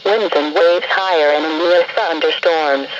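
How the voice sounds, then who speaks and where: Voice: mid-range voice, fairly even intonation, a little slow. A synthesized announcer reading a scripted forecast in clipped, impersonal phrases over weather radio. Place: played through a handheld radio indoors. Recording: muffled, noisy weather radio broadcast.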